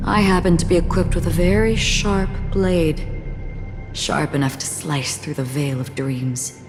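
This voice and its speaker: An actress playing a stoic, philosophical swordswoman in a video game cutscene, speaking in a grave, measured, formal way. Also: deep voice. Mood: cool, pointed. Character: solemn, stoic female swordswoman, cryptic and philosophical. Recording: long reverb, music behind the voice.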